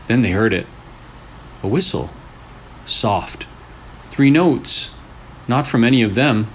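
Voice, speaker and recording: A man reading a scary story aloud in short, deliberate phrases with pauses for suspense. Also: mid-range voice, lively intonation. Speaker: storyteller, channel host. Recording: clearly noisy room recording.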